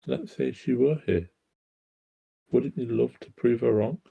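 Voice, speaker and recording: Man reading as a gravelly, forward teenage girl. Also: medium pitch, a little slow, phone-quality sound, heavily acted. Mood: teasing, probing. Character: forward, teasing teenage girl, curious and direct. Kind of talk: amateur screenplay table read.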